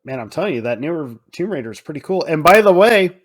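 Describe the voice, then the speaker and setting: A man's voice: a little high, very animated, fast. A man co-hosting a podcast, chatting casually about films in an informal, emphatic way that repeats points for stress. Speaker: podcast co-host. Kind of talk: casual film discussion.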